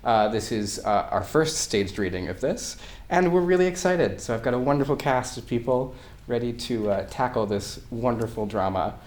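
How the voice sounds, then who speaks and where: The recording is echoey, in a reverberant room. A director speaks informally to introduce a staged reading, in a voice of medium pitch. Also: expressive, moderate pace, a little mellow. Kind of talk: informal introductory speech to an audience.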